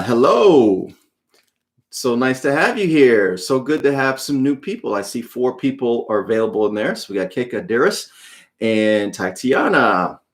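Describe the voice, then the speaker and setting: A man, a children's author, speaking to an online audience in a live read-aloud in a friendly, direct way. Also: on the low side. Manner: friendly, chatty, addressing viewers directly.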